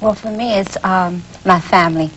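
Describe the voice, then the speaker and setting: A woman's voice: medium pitch, normal speed, a bit bright. A woman guest who works in emergency medicine, answering casually and personally in an interview. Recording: dull, faintly noisy old tape.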